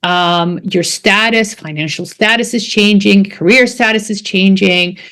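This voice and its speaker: Slow-talking woman podcast host. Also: mid-range voice, fairly bright tone. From Jordan. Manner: unhurried, emphatic, repeats key words. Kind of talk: casual interview chat.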